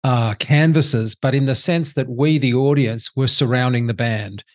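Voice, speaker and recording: A man co-hosting a podcast, asking questions eagerly with quick bursts of speech. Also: medium pitch. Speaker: co-host, interviewer. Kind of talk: conversational interview.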